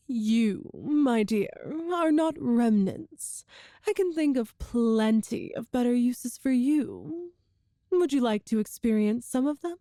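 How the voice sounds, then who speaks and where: A woman playing a cruel, seductive evil empress in an ASMR roleplay drama, speaking with imperious, deliberate menace. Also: on the high side, warm tone, no room sound, studio quality. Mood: teasing, seductive threat.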